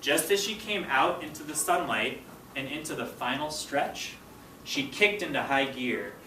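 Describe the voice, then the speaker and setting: A man's voice: fairly high pitch, expressive, unhurried, sharp tone. Man teaching in a roomy, distant recording. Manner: clear step-by-step directives, checking understanding. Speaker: fifth grade teacher. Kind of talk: classroom instruction and read-aloud. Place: indoor school classroom. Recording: roomy classroom, distant mic, faint noise.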